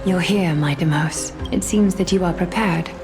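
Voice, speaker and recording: A woman's voice: fairly low pitch, normal speed, lightly acted. An actress playing a regal, serene female leader and demigod in a video game, speaking in a formal, gracious and composed manner. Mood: solemn, gracious. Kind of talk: dramatic video game voice acting. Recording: loud music behind the voice. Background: game music.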